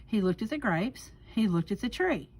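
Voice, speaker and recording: A woman reading a children's storybook aloud expressively, with deliberate dramatic pauses. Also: mid-range voice, very animated, darkish tone, slightly rough. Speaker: library storyteller.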